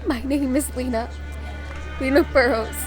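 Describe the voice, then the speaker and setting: A woman acting a distraught, guilt-ridden young costumed heroine in an audio drama, crying and halting in her despair. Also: high-pitched, fairly even intonation, rough recording. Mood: anguished despair. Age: young adult. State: crying, anguished. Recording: music behind the voice.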